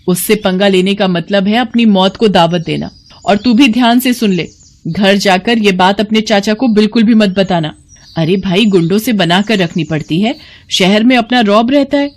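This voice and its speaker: A woman voicing a greedy, scheming aunt in an animated moral story, with a coaxing, ingratiating manner. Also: mid-range voice, normal speed, close to an everyday voice. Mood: scolding, wary.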